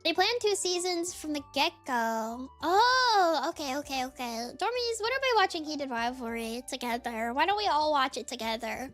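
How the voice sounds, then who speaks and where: A woman's voice: sits high, big pitch swings, moderate pace, few pauses, a bit bright, faint music behind. A woman streamer chatting casually in a rambling, giggly way, full of fillers. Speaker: VTuber streamer.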